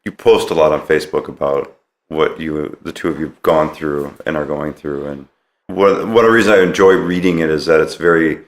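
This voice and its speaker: A man's voice: extremely low pitch, normal speed. A man hosting a casual podcast interview, attentive and supportive as he talks with his guest. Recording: roomy, echoing sound.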